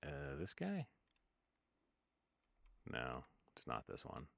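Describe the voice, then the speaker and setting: Very deep, gravelly man chatting casually. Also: wildly swooping pitch, slow, fairly bright tone, no echo. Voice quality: very low voice. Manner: rambling, hesitant, many fillers and trailing-off sentences. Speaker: livestreaming music producer. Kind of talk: casual livestream chat while working. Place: home studio at a computer.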